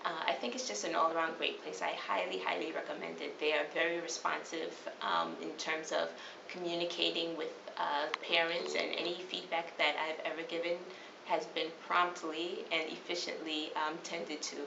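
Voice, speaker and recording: Low, gravelly-voiced woman. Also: normal speed, few pauses. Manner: enthusiastic, with fillers. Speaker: parent. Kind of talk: casual testimonial. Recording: echoey dull recording, ambient noise.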